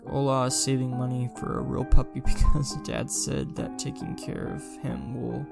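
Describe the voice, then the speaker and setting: A man with a creaky, gravelly voice reading a visual novel aloud as a game streamer, with casual, sweary asides. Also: medium pitch, little pitch movement, moderate pace. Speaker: gaming streamer doing a longplay. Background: game music.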